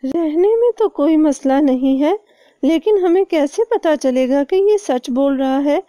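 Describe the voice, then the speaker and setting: A woman voicing a small, cautious bird queen in a cartoon, speaking with measured authority. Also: sits high, lively intonation, mellow, no echo, clean studio sound, pitched above the performer's own voice. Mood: cautious, authoritative.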